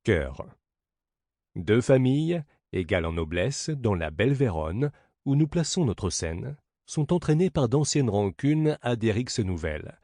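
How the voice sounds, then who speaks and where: A man narrating a classic play as an audiobook, in a measured, declamatory theatrical reading. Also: on the low side, warm tone, no echo, clean studio sound.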